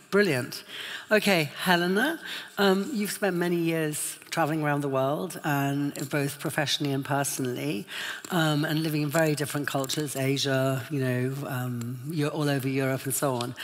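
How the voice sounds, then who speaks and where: A woman moderating a panel discussion, asking questions and wrapping up in a brisk, upbeat way. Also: sits low. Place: large trade fair hall with reverb. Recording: echoey hall.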